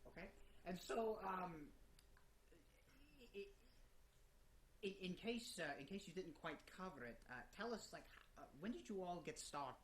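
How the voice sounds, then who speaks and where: A man hosting a casual interview, speaking in a halting, stammering way. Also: high voice, lively intonation, slight background noise. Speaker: show host. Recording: echoey room with crowd sound.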